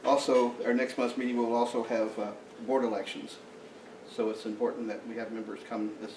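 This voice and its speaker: Halting man announcing in an echoey room. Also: medium pitch, flat delivery, background noise. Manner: halting, frequent uh fillers and restarts. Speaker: society president introducing the speaker. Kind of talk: announcements and speaker introduction at a meeting. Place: meeting room. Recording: echoey room, mic from far off.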